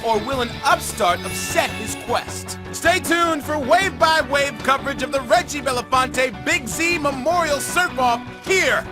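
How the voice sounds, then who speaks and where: Brief: a penguin sports anchor, very high and bouncy, with wry broadcast banter over music. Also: male voice, animated, unhurried, hardly any pauses. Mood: hyped. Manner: wry, upbeat newscaster banter. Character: bright chatty male penguin TV sports reporter. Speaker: news anchor. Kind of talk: game cutscene broadcast patter. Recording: music bed behind.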